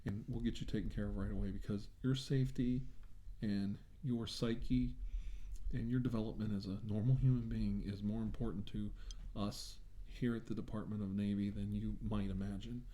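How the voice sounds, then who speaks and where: A man doing an improvised, soft-spoken ASMR role-play as a doctor, talking in a reassuring, halting way. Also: fairly low pitch, steady tone. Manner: reassuring, hesitant, halting delivery. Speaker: ASMR role-player acting as a government doctor.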